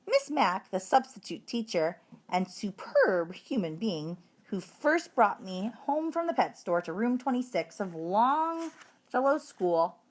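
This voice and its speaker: Animated woman reading a children's story. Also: lowish voice. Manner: animated, emphatic delivery. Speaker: audiobook reader. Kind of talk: expressive children's story narration.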